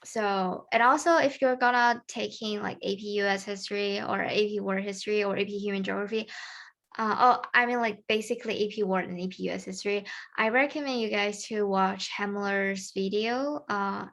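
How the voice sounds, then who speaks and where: A woman's voice: mid-range voice, steady tone, creaky, a bit bright. Teen girl with a Mandarin Chinese accent. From China. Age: teens. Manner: casual, halting, many fillers and restarts. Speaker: student presenter. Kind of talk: recorded presentation to peers.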